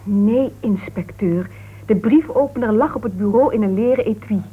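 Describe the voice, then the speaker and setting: A woman's voice: medium pitch, moderate pace, slightly rough. A woman playing a composed but defensive female suspect in a Dutch radio play, answering in a clipped, formal, resigned way. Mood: formal, guarded. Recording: old radio recording, narrow tinny band, hiss.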